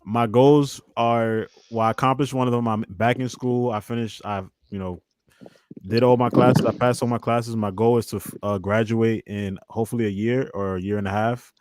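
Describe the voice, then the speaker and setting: A man in his twenties speaks as a guest in a casual panel chat. His voice sits at an ordinary pitch and the sound is close and dry. His wording is informal and slangy. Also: steady tone, normal speed, a little mellow, clean tone, dry recording. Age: 20s.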